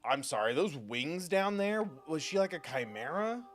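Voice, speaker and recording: A man's voice: on the high side, very animated, moderate pace, faint music behind. A man streaming a game live, reacting wryly and reading choices aloud. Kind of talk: live gameplay commentary.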